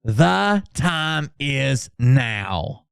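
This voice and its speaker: A man's voice: mid-range voice, sing-song, vocal fry, smooth, no echo, clean studio sound, full character voice. A man voicing a grandiose, melodramatic online prophet in a theatrical, mocking dramatic reading. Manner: theatrical, drawn-out, emphatic.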